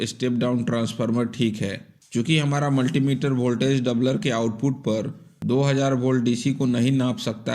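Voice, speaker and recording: A man's voice: mid-range voice, darkish tone. Methodical man narrating a repair tutorial. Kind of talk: step-by-step instructional narration.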